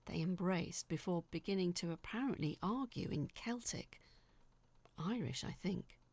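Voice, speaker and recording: A woman narrates a scripted story in the first person. Her voice is slightly low, husky and full of creak, with measured, dramatic delivery. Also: animated, darkish tone, no echo.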